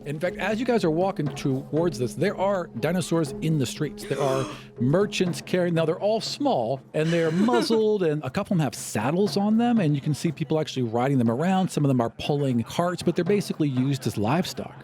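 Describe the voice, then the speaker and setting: A man's voice: fairly high pitch, animated, brisk, a little mellow, soft background music. A man narrating a tabletop fantasy game as game master, in a conversational, vivid descriptive style.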